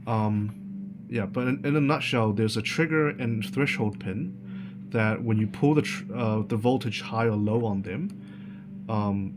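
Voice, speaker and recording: A man giving an informal, unscripted technical explanation as a tutorial presenter, halting and thinking aloud as he goes. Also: on the low side, background noise.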